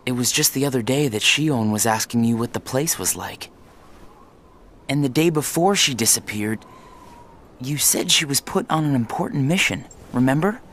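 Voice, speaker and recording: A man's voice: mid-range voice, animated, moderate pace, a bit bright, slight background noise, lightly acted. A young man voicing an earnest young hero. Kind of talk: video game cutscene voice acting. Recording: game audio with faint music.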